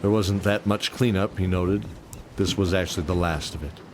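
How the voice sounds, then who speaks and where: A man's voice: low-pitched, normal speed, background noise. A man narrating a scripted fantasy adventure as a dramatic storyteller. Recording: music bed under narration. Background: music.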